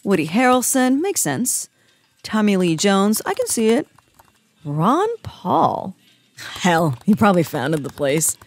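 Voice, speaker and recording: A woman's voice: medium pitch, very animated, slow pace, full character voice. A woman playing a wry, sarcastic hacker agent who comments dryly as she works. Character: wry tech-savvy young female agent, hacker.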